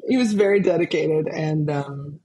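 Chatty low-voiced woman. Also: normal speed, dark tone, slight background noise, low-quality recording. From Arkansas, USA. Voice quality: low speaking voice. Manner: chatty, laughs often, many fillers. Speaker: guest composer. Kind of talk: casual conversational storytelling.

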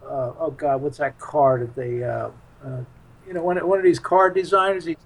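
A man with a fairly high-pitched voice talks casually as an interview guest. His delivery is halting and unscripted, as he searches for the right words. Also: vocal fry, low-quality recording. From United States.

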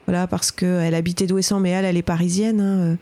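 A middle-aged woman who works as a fisher and lecturer, answering interview questions in a hesitant way with many pauses and restarts. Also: fairly low pitch, flat delivery, warm tone, no room sound. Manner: hesitant, full of fillers and restarts.

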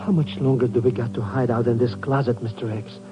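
A man playing a nervous, querulous, slow-witted sidekick in a 1950s radio drama. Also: mid-range voice, steady tone, loud music behind the voice, natural voice. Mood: nervous, puzzled. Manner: querulous, bewildered, broken grammar. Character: jittery, grumbling, slow-witted male sidekick to a spy. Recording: muffled, noisy, roomy vintage radio recording.

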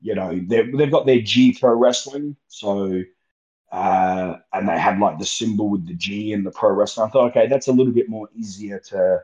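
A young Australian man with a fairly low voice chats loosely as an interview guest, drifting through long stories and laughing easily. His voice comes through a remote call, muffled and lo-fi, with the top end dulled. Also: moderate pace. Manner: relaxed, rambling, laughs easily. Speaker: guest wrestler. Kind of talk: casual interview chat.

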